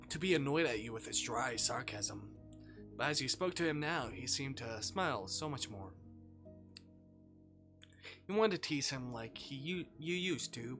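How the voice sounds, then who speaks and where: Live read-aloud narrator, mid-range voice, informal and a bit stumbly, music clearly underneath. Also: medium pitch. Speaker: streamer reading a visual novel aloud.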